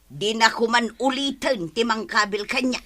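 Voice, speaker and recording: A woman's voice: on the high side, normal speed, bright, forceful tone. A woman with an Ilocano accent playing the aggrieved, long-suffering wife of a drunkard in a radio comedy drama. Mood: aggrieved, weary.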